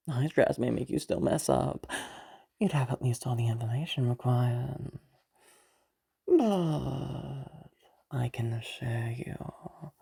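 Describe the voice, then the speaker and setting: A woman playing a sly, teasing female demon of lust in an audio roleplay, bargaining patiently in a breathy, creaky voice with halting pauses and whispers. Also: a bass voice, warm tone, full character voice. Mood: menacing, teasing.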